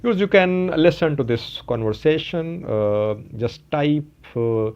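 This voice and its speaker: A man presenting a solo news explainer point by point, in a deliberate manner, addressing his viewers. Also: a little high, expressive, darkish tone, clean tone. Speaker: news commentator, channel host. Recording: dull, lo-fi voice recording.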